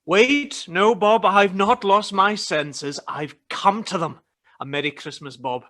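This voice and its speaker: A man playing a reformed old miser in a radio play, theatrical, with deliberate dramatic pauses. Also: sits high, pushed and bright, heavily acted. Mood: jubilant, generous.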